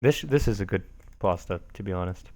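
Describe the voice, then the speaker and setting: Male streamer, somewhat low voice, dry amused commentary between posts. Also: lively intonation, brisk, darkish tone, no room sound. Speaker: streamer reading forum posts aloud. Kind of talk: casual livestream commentary with dramatic readings.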